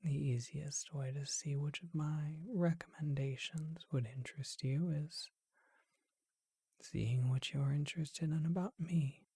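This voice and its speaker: A performer voicing a charming, courtly male vampire who keeps a bookshop, whispering slowly and deliberately in an ASMR roleplay. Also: medium pitch, fairly even intonation, warm tone, husky, no echo, clean studio sound. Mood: charming, teasing.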